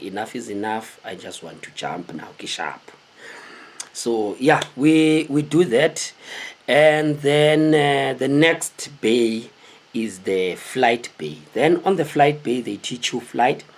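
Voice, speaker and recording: A South African man in his thirties tells a story casually to camera, animated and digressive, repeating words for emphasis in a husky voice. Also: fairly low pitch, a little hiss or hum. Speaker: vlogger telling stories. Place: small indoor room with a cloth backdrop.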